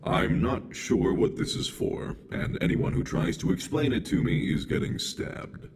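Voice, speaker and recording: A man voicing a bloodthirsty, curious robot hunter in a video game, speaking formally and deliberately through robotic processing. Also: a little hiss or hum. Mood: coldly analytical. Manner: deliberate, formal, menacingly literal. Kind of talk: video game character dialogue.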